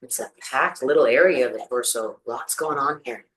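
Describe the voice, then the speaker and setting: A meditation teacher leading a guided practice, giving gentle, soothing instructions with pauses. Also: medium pitch, poor audio.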